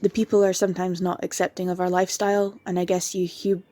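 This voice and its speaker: A woman's voice: lowish voice, little pitch movement, fast, dark tone, no echo, lightly acted. A woman playing a sweet young vampire girl. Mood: relaxed. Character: young vampire woman, friendly new neighbor. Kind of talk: ASMR audio drama.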